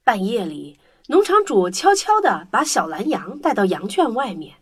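A woman's voice: medium pitch, sing-song, normal speed, music in the background. A woman narrating a children's storybook tale in a scripted storytelling style.

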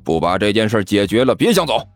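A man voicing a brazen, stubborn, quick-witted hustler in an audiobook, arguing with sarcasm and sneers. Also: on the low side, big pitch swings, no room sound, pitched below the performer's own voice. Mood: loud, accusing.

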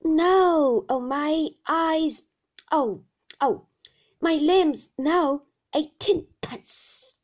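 A woman's voice: high-pitched, drawn-out words, pitched above the performer's own voice. A woman voicing a fierce, half-mad old male shopkeeper in a storybook, with a whining, throat-rattling, sing-song delivery. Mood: haggling, wailing. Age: older. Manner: wailing sing-song tune, rising and falling, exclamatory.

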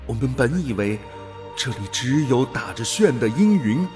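A man acting in a radio drama as an awestruck, fervent explorer turned alien creature, with halting, theatrical wonder. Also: mid-range voice, animated, music in the background, lightly acted. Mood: reverent wonder.

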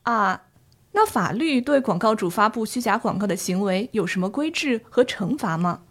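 A woman's voice: medium pitch, animated, normal speed. A woman playing a concerned granddaughter asking for advice, in a conversational scripted radio drama. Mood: curious. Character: worried granddaughter seeking legal advice for grandfather.

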